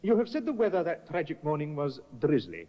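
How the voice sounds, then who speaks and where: A shrewd courtly barrister. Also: male voice, fairly high pitch, wildly swooping pitch. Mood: cross-examining. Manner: measured, probing, courtly. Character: shrewd, polished male defense barrister, middle-aged. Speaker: defense barrister. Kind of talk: 1950s radio drama acting. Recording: dull lo-fi old radio broadcast.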